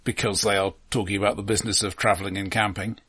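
A male podcast co-host with a rough, husky voice, recorded close and dry. He chats about film in a wry, deadpan way, starting and stopping as he forms his thoughts. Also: on the low side, fast, no echo. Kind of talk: casual film discussion.

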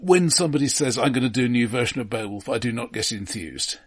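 A man co-hosting a film podcast, giving casual criticism in a measured, analytical way and qualifying his points as he goes. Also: medium pitch, expressive, slightly rough.

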